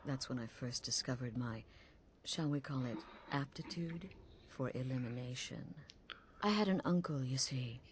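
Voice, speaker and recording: Cast: a cold female assassin chief, calm menacing drawl, very low voice, faint hiss behind. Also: normal speed. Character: cold, self-assured female assassin guild leader. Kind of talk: video game dialogue.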